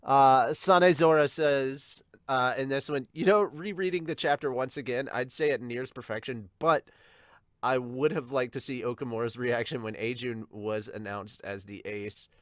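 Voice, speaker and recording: A man's voice: mid-range voice, moderate pace, dry recording, studio quality. A man hosting a podcast, reading comments aloud and chatting casually in a somewhat hesitant way. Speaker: podcast host reading and discussing viewer comments.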